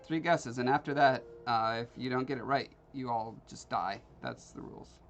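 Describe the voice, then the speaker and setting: A man's voice: mid-range voice, normal speed, a bit bright, soft background music. A man from Alaska hosting a livestream, chatting casually and joking with his viewers while he carves. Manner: rambling, joking with live chat. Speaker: livestream host. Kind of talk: casual chat while carving.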